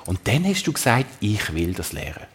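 A man's voice: fairly low pitch, big pitch swings, moderate pace, slightly rough. A man with a Swiss German accent hosting a talk and guiding the guests' stories with encouraging remarks. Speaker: host. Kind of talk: conversational moderation.

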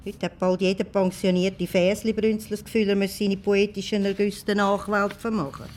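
An actress in a radio play playing a disapproving, matter-of-fact village official. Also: female voice, medium pitch, flat delivery, moderate pace, a little mellow, close to an everyday voice. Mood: dry, mocking. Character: dry, disapproving female village council official.

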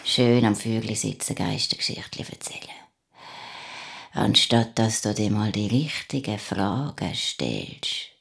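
A woman playing a bitter, unhinged middle-aged mother with a Swiss German accent in an audio horror drama, drifting between breathy whispers and menacing outbursts. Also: rumbling low, expressive, unhurried, exaggerated voice. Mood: accusing, hushed. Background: music.

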